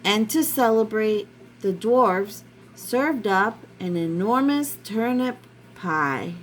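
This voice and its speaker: A woman's voice: medium pitch, expressive, slow pace, slight background noise. A woman reading a story aloud to children, addressing her young listeners directly like a teacher.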